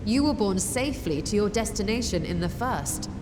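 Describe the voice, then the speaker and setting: A woman's voice: medium pitch, expressive, moderate pace, noisy background, lightly acted. A woman playing a composed, scholarly video game character who explains things patiently in formal, precise language. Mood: composed, explanatory. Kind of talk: scripted expository game dialogue. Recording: music behind the voice.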